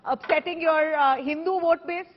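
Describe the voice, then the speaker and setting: A woman's voice: very high-pitched, steady tone, normal speed, dull audio. A woman news anchor in her thirties with an Indian accent, putting assertive, confrontational questions in a TV debate. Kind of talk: debate moderation, challenging questions. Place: TV news studio.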